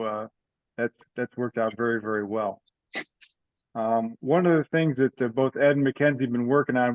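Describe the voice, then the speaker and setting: A man chairing an informal online meeting, giving updates in a halting way with repeated words. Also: medium pitch, dry recording. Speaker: meeting chair of an amateur radio club group. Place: online video call. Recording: slightly dull video-call audio.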